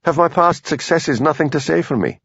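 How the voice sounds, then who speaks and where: A man voicing a nervous, earnest police constable with a Highland Scottish accent, in a dull lo-fi recording. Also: lively intonation, brisk, gravelly, fairly bright tone, husky, no room sound, exaggerated voice. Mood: insistent. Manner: earnest, pleading.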